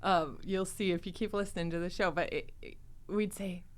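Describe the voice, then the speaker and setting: A woman hosting a podcast in casual, animated chat, often slipping into quoted dialogue. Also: fairly low pitch, normal speed. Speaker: podcast host.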